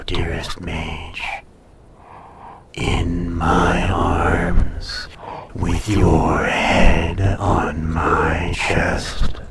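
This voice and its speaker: A man playing a proud, teasing ancient dragon in an intimate roleplay audio, speaking in a condescending, often hushed and breathy way. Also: low-pitched, heavy background noise. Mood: teasing, seductive.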